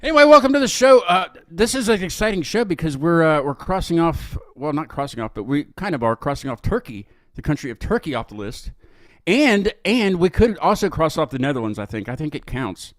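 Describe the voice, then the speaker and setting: A man's voice: a little high, very animated, brisk, no echo. A man casually hosting an interview show, rambling and correcting himself as he goes. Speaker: host of a music interview show.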